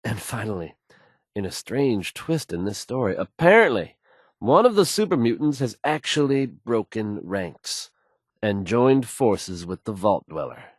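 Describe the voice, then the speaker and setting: A man's voice: fairly low pitch, big pitch swings, a little slow, no echo, clean studio sound. An actor playing a confident, easygoing radio newsman in a video game, suave and wry, with a polished, folksy delivery. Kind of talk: smooth scripted radio news delivery, video game voice acting.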